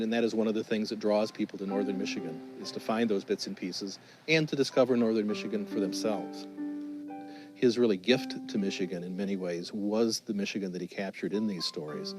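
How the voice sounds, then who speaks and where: Low-voiced man explaining over music. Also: rough recording. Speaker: interviewee in a documentary. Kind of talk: measured explanatory interview. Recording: music under the voice. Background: instrumental music.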